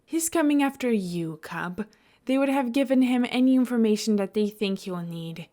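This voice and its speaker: Woman doing smooth easygoing leader guy in a story, nonchalant, talks people into stuff. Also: a little high, expressive, normal speed, dark tone, voice pushed up, close to an everyday voice. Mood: nonchalant, persuasive. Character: smooth, nonchalant male leader, welcoming and persuasive.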